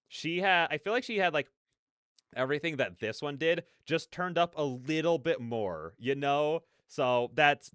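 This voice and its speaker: A man's voice: medium pitch, a bit bright, smooth, no echo, studio quality. A man hosting a reaction video with casual, effusive commentary, repeating phrases for emphasis.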